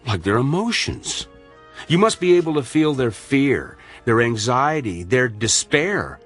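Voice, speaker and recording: A man's voice: medium pitch, very animated, measured pace, fairly bright tone, faint music behind. An actor playing an earnest male medical colleague with a probing, moralising, sardonic manner in a radio drama. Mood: earnest, pleading.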